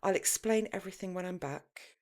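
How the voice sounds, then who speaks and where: A woman voicing a headstrong, breezy married woman with a brisk, emphatic manner in an audiobook. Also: lowish voice, a little mellow, pitched above the performer's own voice, lightly acted. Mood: breezy, hurried.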